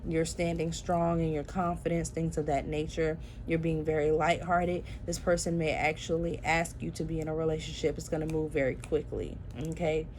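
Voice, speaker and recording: A woman's voice: low-pitched, flat delivery, moderate pace, few pauses, a little mellow, a little hiss or hum. A tired, sleepy-sounding woman giving a chatty tarot reading and talking straight to her viewers, with plenty of fillers. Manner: chatty, addresses viewers as y'all, many fillers. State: sleepy, tired early morning. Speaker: tarot reader.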